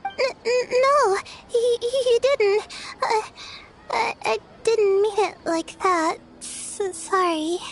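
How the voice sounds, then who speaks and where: A woman playing a timid, sleepy young student in a video game, gentle and flustered, with a hesitant, stammering delivery. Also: very high-pitched, slow, slight background noise, heavily acted. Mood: flustered, apologetic.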